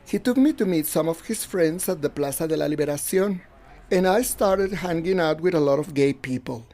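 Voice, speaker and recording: A man's voice: fairly high pitch, expressive, fairly quick, warm tone. A man reading a first-person storytelling voice-over as an English translation. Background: faint music.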